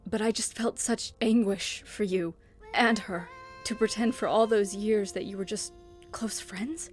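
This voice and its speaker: A woman's voice: mid-range voice, unhurried, faint music behind, natural voice. A woman playing an earnest, anguished young heiress in love, pleading emotionally in a fan comic dub.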